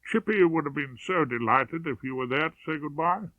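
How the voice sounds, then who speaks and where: Narrow, radio-like sound holds an affable upper-class Englishman in his forties, put on by a male reader. He is cheerful, hearty and polite, a storybook gentleman with a dry, close sound. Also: a little high, lively intonation, moderate pace, no echo.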